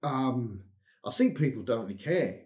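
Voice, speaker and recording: A man's voice: mid-range voice, lively intonation, moderate pace, a little mellow, reverberant. An English man with an English accent, a teacher being interviewed, rambling with a self-deprecating humor and frequent restarts. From England. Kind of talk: casual interview chat.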